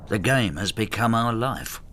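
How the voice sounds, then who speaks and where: A man's voice: on the low side, expressive, a little slow, a bit bright. An actor playing an embittered, spiteful old husband who narrates his marital war in a brooding, intimate radio drama style. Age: older.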